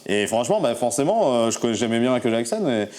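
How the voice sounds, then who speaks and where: Male host, quick talker, dark mellow voice, chatty and rambling, casual interview. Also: medium pitch, sing-song. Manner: chatty, rambling, finishes guest's sentences.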